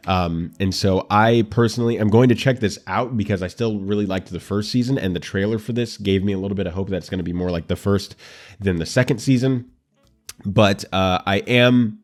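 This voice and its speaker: A man hosting a casual anime commentary show, congested and nasal from allergies, with a dry throat, chatting straight to his viewers. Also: on the low side. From United States.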